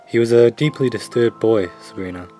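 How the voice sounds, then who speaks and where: A man's voice: medium pitch, dark tone, soft background music, natural voice. Man acting a condescending, sinister asylum doctor. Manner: patronizing, measured. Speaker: actor in an audio drama. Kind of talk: audio horror drama acting.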